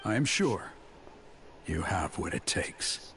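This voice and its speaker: A man's voice: sits low, sing-song, slow, music in the background, heavily acted. A man voicing a solemn elder in a video game, urging someone on in a grave, insistent way.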